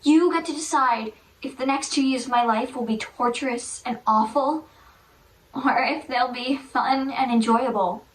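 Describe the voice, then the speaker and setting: Woman doing a bullied teen girl, hushed breathy pleading, high voice, roomy and distant. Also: measured pace. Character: teenage girl, bullied, desperate student. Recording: roomy, from far off.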